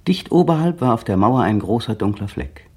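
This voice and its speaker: A man's voice: medium pitch, animated, brisk, darkish tone, slightly rough. An actor playing a brooding man in a radio drama, narrating his memories in an inner monologue that sometimes rises to fevered intensity. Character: brooding man recalling a still afternoon.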